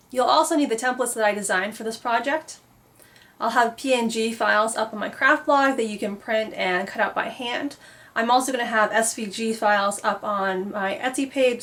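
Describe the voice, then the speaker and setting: A woman's voice: a little high, a bit bright. A woman who is getting over a cold, walking through a paper craft tutorial with plain, patient, step-by-step instructions. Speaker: craft blogger.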